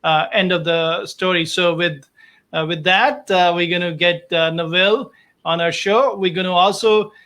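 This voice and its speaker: The high-voiced man hosting a homemade online health show, stumbling and repeating himself as he cheers viewers on. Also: fairly even intonation, normal speed, bright, forceful tone. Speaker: host, doctor interviewing his patient. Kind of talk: casual online show chat.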